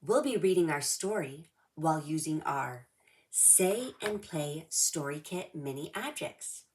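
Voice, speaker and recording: A woman reading a children's storybook aloud in a measured, simple way for young listeners. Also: sits low, animated, darkish tone, a little hiss or hum.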